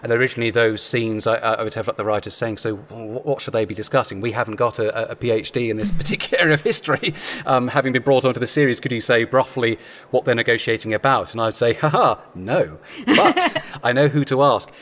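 A man's voice: medium pitch, lively intonation, quick pace, fairly bright tone. A man chatting casually as a writer on a panel, explaining things in a rambling way. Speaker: audio drama writer.

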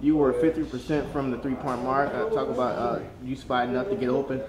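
A man asking postgame sports interview questions in a halting, hesitant manner. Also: mid-range voice, little pitch movement. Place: echoey basketball arena. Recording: echoey, loud crowd hubbub behind.

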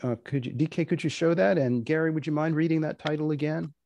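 Creaky-voiced man moderating, dry sound. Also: a little high, steady tone, fast talker, darkish tone, no room sound. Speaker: host and moderator. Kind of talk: moderating an online event. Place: indoors, video call.

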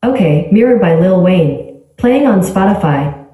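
A synthetic woman's voice from a smart speaker, giving even, polite, clipped replies. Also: medium pitch, a little slow. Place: small tiled bathroom. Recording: echoey bathroom, heard from a distance.

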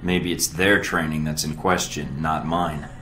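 An actor playing a brash, cocky young American secret-agent recruit in a video game, with a flippant manner. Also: male voice, a bass voice, steady tone, measured pace, bright, forceful tone, heavily acted. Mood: smug. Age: young adult. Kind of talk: video game cutscene dialogue. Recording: echoey, music underneath.

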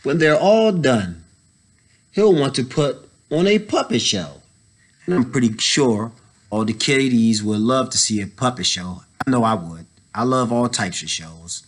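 Man in his thirties, slow pace, medium pitch, children's read-aloud, pauses, casual asides to kids. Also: expressive, breathy edge. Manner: unhurried, pausing, explaining to children. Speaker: story time reader, educator. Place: indoors, school library.

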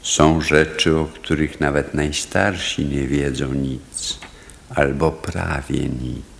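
An actor playing a grave village elder who leads a chorus, speaking in a solemn, measured way in a radio drama. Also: male voice, extremely low pitch, steady tone, creaky, long reverb tail, heavily acted. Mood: grave, uncertain. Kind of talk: theatrical radio drama acting.

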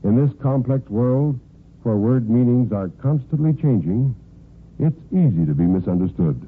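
A man's voice: medium pitch, animated, normal speed, a little hiss or hum. A man working as a radio announcer, reading scripted vintage narration in a formal, declamatory way. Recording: muffled, phone-thin old radio recording.

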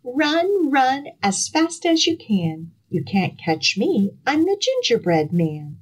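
A woman acts out a cheeky little gingerbread man from a storybook. The voice is high and lilting, with a boastful, giggly singsong. It swings up and down as it taunts. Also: very animated, takes it slowly, husky, heavily acted. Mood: playful taunting.

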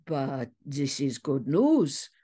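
A woman voicing a courteous, restrained gentleman in an audiobook story, measured and polite. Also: rumbling low, big pitch swings, slightly rough, voice pushed down, heavily acted. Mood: measured, curious.

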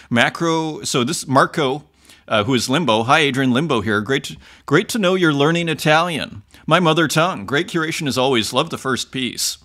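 A man in his forties chats casually to camera about viewer comments. His voice is bright and forward, and his talk rambles with fillers and restarts. He sits close to a webcam in a room lined with bookshelves. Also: mid-range voice. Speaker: artist vlogger reading viewer comments. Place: home room with bookshelves, indoors. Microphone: close webcam-style framing.

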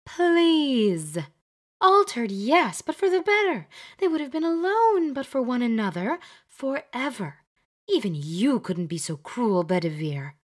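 Woman putting on a shrill bossy witch matchmaker. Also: big pitch swings. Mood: indignant. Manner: bossy, brisk, chirpy. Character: fussy, chattering older female witch matchmaker. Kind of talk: audiobook character voice.

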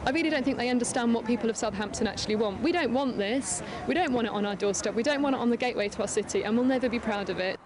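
A woman's voice: a little high, rapid-fire, a crowd in the background. A woman, a local resident, speaking unscripted in an interview. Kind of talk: unscripted vox pop interview. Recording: outdoor street with people around.

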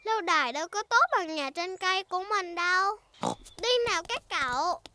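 A woman's voice: very high voice, expressive, normal speed, pushed and bright, no echo, heavily acted. A woman voicing a chirpy, confident little girl pig in a dubbed children's cartoon. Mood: proud.

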